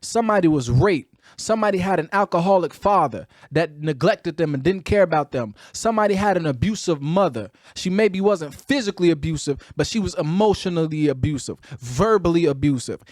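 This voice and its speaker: High-voiced Chicago man, emphatic motivational preaching. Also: lively intonation, brisk, no echo, studio quality. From Chicago, United States. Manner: emphatic, repetitive, colloquial, drawing out key words. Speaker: podcast host, motivational speaker. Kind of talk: motivational talk with preacher-like delivery.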